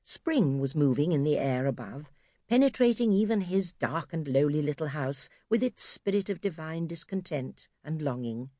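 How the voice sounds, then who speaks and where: A woman's voice: deep voice, expressive, normal speed, mellow, no room sound. A woman narrating a children's audiobook expressively from a script, close to the microphone. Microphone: close studio microphone.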